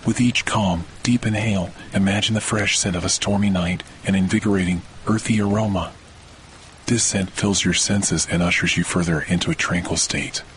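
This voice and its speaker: Low-voiced male meditation guide over rain. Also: fairly even intonation, fairly bright tone, slight background noise. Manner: soothing, measured instruction. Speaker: podcast host, meditation guide. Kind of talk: scripted guided sleep meditation. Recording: rain and thunder behind voice. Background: rain and distant thunder.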